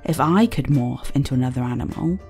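A woman reading a children's story aloud in an expressive, child-directed way, as the host of a storytime. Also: a bass voice, fairly quick, faint music behind. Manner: expressive, child-directed, asks the listener questions.

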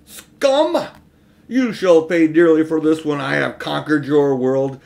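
A man reading a storybook as an imperious, triumphant evil witch queen, loud, haughty and declaiming. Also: on the high side, slow, vocal fry. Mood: scornful, triumphant.

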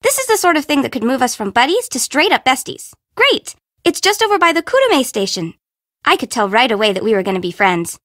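Perky young bento shop girl for a video game, grown woman, high bouncy voice, sassy and teasing, super informal. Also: very animated, fairly quick, fairly bright tone, dry recording, clean studio sound. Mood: bubbly, casual. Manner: bubbly, teasing, sarcastic, informal. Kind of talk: video game character voice acting.

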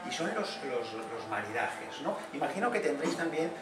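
A man's voice: a little high, big pitch swings, normal speed, a loud crowd. Enthusiastic man hosting, roomy sound. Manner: warm, enthusiastic, with many fillers. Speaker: host. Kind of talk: interviewer. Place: restaurant dining room, indoors. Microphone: far camera mic. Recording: echoey room from far off, with music behind.

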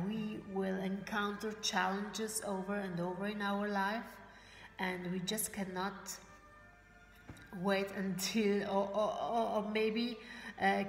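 A woman's voice: medium pitch, creaky. A woman in her forties whose first languages are Italian and German, speaking English to camera and often searching for words, with many fillers and restarts. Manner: gestures with her hands while talking. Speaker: motivational vlogger for network marketers. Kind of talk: casual motivational talk to camera. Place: bright living room indoors. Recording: roomy echo with faint room noise.

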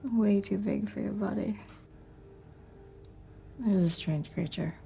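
A woman in her thirties playing a tender, teasing pregnant wife in a screen drama, speaking softly and intimately. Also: medium pitch, a little slow, vocal fry, slight background noise. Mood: drowsy, tender. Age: 30s. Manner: soft, intimate, murmured bedside talk. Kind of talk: naturalistic screen drama acting. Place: quiet bedroom, indoors.

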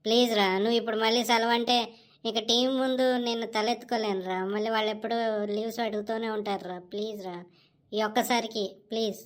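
A woman's voice: fairly high pitch, steady tone, a little fast, natural voice. A woman voicing a meek, good-natured young male office manager in a comedy cartoon, hesitant and deferential in the way of talking. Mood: pleading, worried. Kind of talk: Telugu comedy cartoon dialogue.

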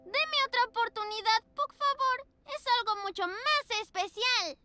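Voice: extremely high pitch, unhurried, dry recording, heavily acted. An actor voicing a cheerful, earnest little girl pug puppy in a children's cartoon. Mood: earnest, proud. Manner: earnest, expressive. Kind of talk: children's cartoon voice acting, Spanish dub. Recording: music behind the voice.

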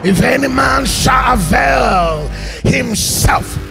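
A man preaching in a fiery way, shouting drawn-out, half-sung lines in a large church. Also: very high voice, lively intonation, vocal fry. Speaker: pastor. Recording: preaching over loud music.

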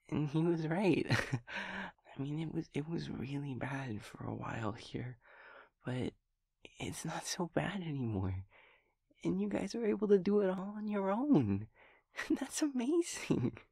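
Man playing creaky-voiced, stammering, childlike male daemon. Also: fairly high pitch, animated, a little slow, a little mellow, no echo, clean studio sound, full character voice. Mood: happy, proud. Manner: stammering, repeating words, breaking into giggles. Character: childlike, sweet, scatterbrained young male empathy daemon friend. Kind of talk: intimate audio roleplay monologue.

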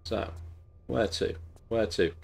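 A man's voice: on the low side, takes it slowly, gravelly, slight background noise. A man in his thirties, congested and losing his voice, chatting rambly while streaming a game at home. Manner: rambling, chatty, jokey asides. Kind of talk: casual live-stream chat while playing.

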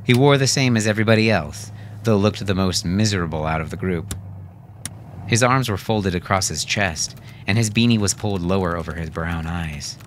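A man's voice: deep voice, moderate pace, a little hiss or hum. A man reading scripted audiobook fiction as its narrator.

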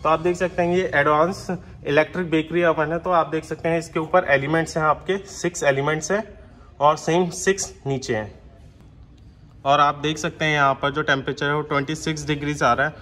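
A man's voice: a little high, level tone, fairly quick, fairly bright tone. A man giving an unscripted, step-by-step product demonstration, mixing in English technical terms. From India.

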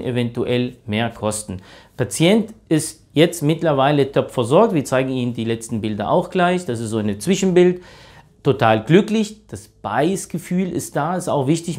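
A man around forty speaks as a dentist in a medium-pitched voice. He explains things informally and reassures the listener, speaking into a lapel mic in a small clinic room. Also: a little mellow. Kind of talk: informal explanation and patient consultation.

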